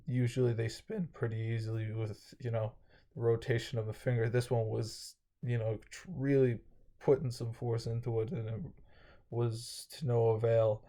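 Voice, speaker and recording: A man's voice: mid-range voice, little pitch movement, moderate pace, mellow. A young man with a slightly dry throat, casually recapping his day in a rambling vlog with many fillers and restarts. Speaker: vlogger.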